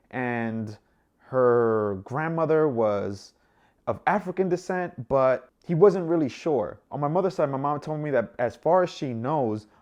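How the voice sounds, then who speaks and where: Casual vlogger: young man from the Dominican Republic, mid-pitched, relaxed and chatty. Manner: relaxed and chatty, with many fillers. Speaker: travel vlogger. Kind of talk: casual vlog monologue.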